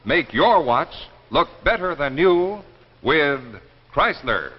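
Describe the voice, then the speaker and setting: A man's voice: on the high side, sing-song, slow, slightly rough. An American man working as an announcer, with the polished, salesmanlike delivery of a 1950s television commercial. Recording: muffled, echoey 1950s broadcast recording.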